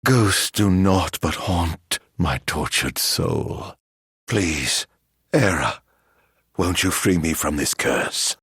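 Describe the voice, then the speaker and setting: An actor playing a tormented, vengeful immortal man turned monster in a video game, with grandiose, theatrical, old-fashioned delivery and a gravelly rasp. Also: sits low, lively intonation, fairly bright tone, dry recording, studio quality, heavily acted. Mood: tormented, defiant. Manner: grandiose, archaic wording, slow dramatic delivery.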